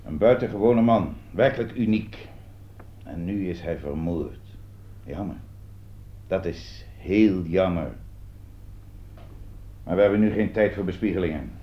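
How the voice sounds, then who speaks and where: Stern male interrogator, deep voice, curt and sarcastic, radio drama, muffled recording. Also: a little slow, pauses often, husky. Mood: grave, deliberate. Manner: curt, probing, commanding. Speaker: actor in a radio play. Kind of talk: Dutch radio drama dialogue. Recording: dull, band-limited old radio recording.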